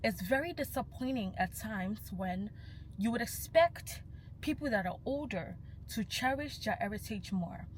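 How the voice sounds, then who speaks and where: A woman's voice: fairly high pitch, animated, unhurried, a little hiss or hum, poor audio. Passionate young woman. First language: Yoruba. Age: young adult. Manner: passionate and emphatic, slipping into Yoruba phrases. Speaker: vlogger. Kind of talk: casual vlog monologue.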